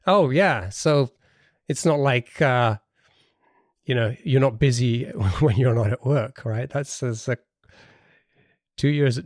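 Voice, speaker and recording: A man's voice: mid-range voice, slow, long pauses, no echo, studio quality. A man hosting a casual interview podcast, thinking aloud with hesitations and repeated words.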